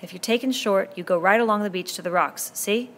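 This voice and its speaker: A woman's voice: medium pitch, fairly quick, a bit bright, slight background noise, lightly acted. A woman voicing an earnest boy chief. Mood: urgent. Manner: pleading, insistent speechmaking.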